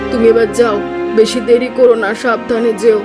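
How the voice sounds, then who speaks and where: Cartoon role: woman voicing an anxious elderly mother, soft and motherly, music clearly behind. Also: on the high side, little pitch movement, a little fast, close to an everyday voice. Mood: fretful. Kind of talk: cartoon voice acting.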